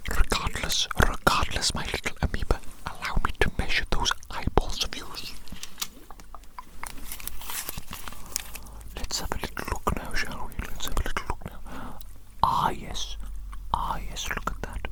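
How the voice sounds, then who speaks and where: A performer voicing an eccentric evil doctor doting on a specimen in a whispered ASMR medical roleplay, breathy and given to repeating phrases. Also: moderate pace, lightly acted. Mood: doting, fascinated.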